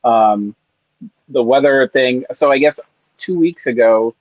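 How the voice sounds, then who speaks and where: A man's voice: medium pitch, slow, dry recording, muffled recording. A man from California, a podcast co-host, chatting in a laid-back, rambling way with lots of fillers. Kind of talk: casual chat. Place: on vacation in Maui.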